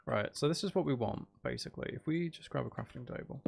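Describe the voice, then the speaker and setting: Creaky-voiced man thinking aloud while gaming. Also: medium pitch, animated, fast talker. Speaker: gaming streamer. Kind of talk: casual live gameplay commentary. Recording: faint background noise and clicking.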